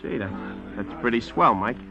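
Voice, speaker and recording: An actor playing a nervous, shifty small-time informer with an American accent, stammering and pleading in vintage radio crime style. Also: male voice, mid-range voice, expressive, normal speed, close to an everyday voice. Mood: uneasy eagerness. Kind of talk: 1940s-1950s radio crime acting. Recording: dull vintage radio recording, music behind.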